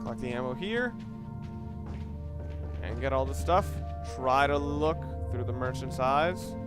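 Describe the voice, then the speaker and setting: A man's voice: medium pitch, animated, measured pace. A man giving casual let's play commentary in a rambling, conversational way, often correcting himself as he goes. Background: game music.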